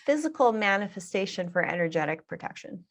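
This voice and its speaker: A woman's voice: mid-range voice, big pitch swings, fairly quick. A woman speaking as a guest in a podcast conversation, talking in a reflective way and offering advice to listeners. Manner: reflective and advice-giving, with soft laughs between points. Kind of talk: casual conversational interview.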